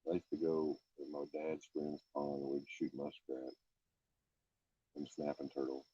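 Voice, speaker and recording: Male buddy, 30s, deep husky mumbles, faint and off-mic outdoors at night. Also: level tone, measured pace, warm tone, no room sound, recorded from a distance, highs cut off. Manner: laconic, mumbled short replies. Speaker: fishing buddy. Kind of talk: casual chat while night fishing.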